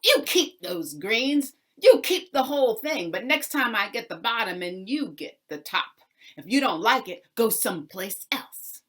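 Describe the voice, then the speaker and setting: A forceful, blustering folk-tale landowner acted out by a woman storyteller. He is greedy and bossy, pushing each phrase out loudly and deliberately, scheming for the better share. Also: on the high side, very animated, husky, voice pushed up, full character voice. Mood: flustered, outraged. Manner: loud, bossy, spluttering when thwarted.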